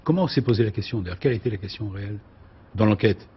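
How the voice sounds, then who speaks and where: Male TV host, husky voice, brisk with figures, dull broadcast audio. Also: fairly low pitch. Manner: lively interviewer, reads out figures, quick asides. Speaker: TV show host. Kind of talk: business TV panel hosting. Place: TV studio.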